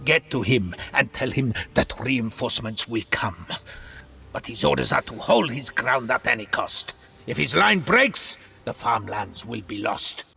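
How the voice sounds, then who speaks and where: A man's voice: medium pitch, very animated, a little slow, gravelly, sharp tone, husky, heavily acted. An actor playing a male officer giving urgent orders.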